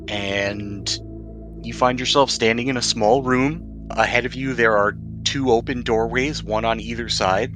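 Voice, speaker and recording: Bright-voiced man running a tabletop game. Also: lowish voice. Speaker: game master. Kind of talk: narrating a tabletop roleplaying game.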